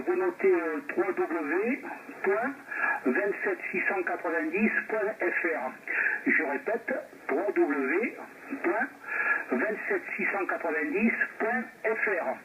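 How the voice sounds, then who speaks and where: A man from France chatting over two-way radio in a hesitant, rambling way, repeating words as an operator. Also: on the high side. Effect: two-way radio transmission. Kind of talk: casual radio contact chat. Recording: narrow, noisy two-way radio reception.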